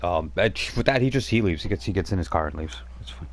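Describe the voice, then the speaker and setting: A man narrating as game master, relaxed and halting, with frequent fillers. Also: sits low.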